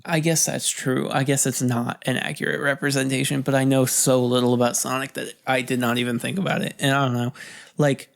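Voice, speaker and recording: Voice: mid-range voice, level tone, brisk, creaky. A podcast co-host bantering casually, rambling in an offhand, hedging way with casual swearing.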